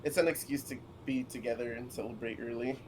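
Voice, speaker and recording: A man's voice: medium pitch, fairly even intonation, brisk, low-quality recording. A man co-hosting a livestream, chatting casually and reading character lines aloud. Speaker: co-streamer. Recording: faint background noise.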